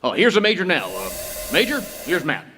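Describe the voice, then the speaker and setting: Chatty hick railroad fireman, guy doing it, high lively voice, big echoey hall. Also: brisk, slight background noise, full character voice. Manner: folksy, rambling, ungrammatical. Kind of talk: stage reenactment of old-time radio drama. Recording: reverberant theater hall.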